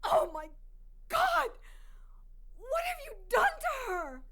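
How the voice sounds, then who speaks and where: A woman's voice: very high-pitched, sing-song, slow pace, fairly bright tone, full character voice. An actress playing a frightened young woman in distress, pleading and panicked. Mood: terrified.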